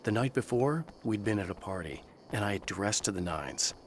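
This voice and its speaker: An actor playing a haunted, weary male writer who narrates a horror game in a low, measured, brooding voice. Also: lively intonation, a little hiss or hum, natural voice. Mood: reflective.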